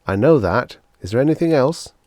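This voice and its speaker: An anxious suburban householder, played by a male narrator in an audiobook story. He sounds overeager and a little fretful, his voice lifted in pitch. The sound is dry and close. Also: animated, fairly quick, a little mellow, no room sound, close to an everyday voice. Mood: fretful, irritated. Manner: overeager, enthusiastic, slightly fretful. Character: anxious, eager-to-please male suburban customer. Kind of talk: audiobook character dialogue.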